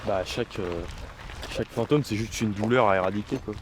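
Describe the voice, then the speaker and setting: Young man, deep-ish, quick deadpan delivery; noisy outdoor recording with traffic. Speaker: self-styled ghost hunter in a sketch. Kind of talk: deadpan improvised comedy chat.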